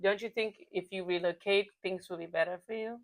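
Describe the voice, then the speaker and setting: A woman's voice: medium pitch, steady tone, brisk. A woman hosting a casual, candid interview in an informal way, often echoing and affirming what she hears. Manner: informal, echoes and affirms the guest's words. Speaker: talk show host.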